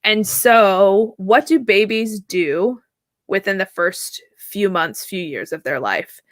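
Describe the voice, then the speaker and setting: A woman in her thirties, a therapist guest answering at length in a casual, animated way, laughing easily and often checking in with "right?". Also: mid-range voice, takes it slowly, no room sound. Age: 30s. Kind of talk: conversational interview answer.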